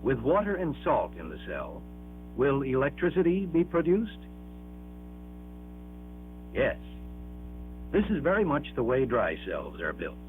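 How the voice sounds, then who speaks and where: A man's voice: mid-range voice, huge pitch swings, faint music behind. Man narrating a dull-sounding 1960s classroom film. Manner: measured, explanatory, emphatic, with rhetorical questions.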